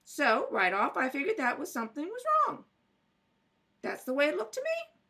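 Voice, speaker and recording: A bright, swooping female voice acted by a woman as a nosy neighbor in an amateur radio play. She is fussy, folksy and insistent, speaking in homespun bad grammar. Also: sits high, huge pitch swings, moderate pace, exaggerated voice. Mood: earnest, concerned. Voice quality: folksy, ungrammatical speech. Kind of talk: amateur radio drama read-through.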